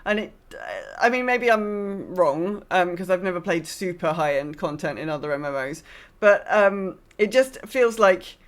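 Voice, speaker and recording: A woman's voice: fairly low pitch, lively intonation, normal speed. Wry woman podcast host chatting casually. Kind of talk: casual discussion of a video game.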